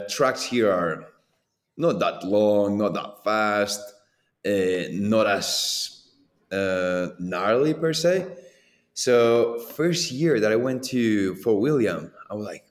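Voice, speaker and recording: A man in his thirties from Colombia, speaking English with a Colombian accent as a second language, chatting animatedly as a former pro racer in an interview. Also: fairly low pitch. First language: Spanish. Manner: animated, laughs often, loose filler-heavy delivery. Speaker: former pro downhill mountain bike racer, guest. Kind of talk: casual conversational interview. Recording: roomy echo.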